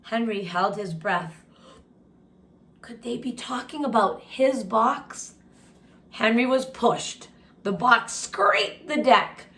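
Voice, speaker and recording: Slow-paced woman reading a story aloud. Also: fairly high pitch, a bit bright. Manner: deliberate, pausing to comment and ask questions. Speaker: storytime reader and teacher. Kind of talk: expressive read-aloud storytelling with asides to children.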